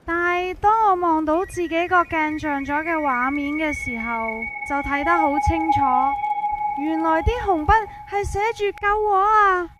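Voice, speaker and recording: High-pitched Hong Kong Cantonese woman storyteller. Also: normal speed, very noisy. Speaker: narrator and main character of a horror story. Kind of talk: first-person scripted storytelling with acted dialogue.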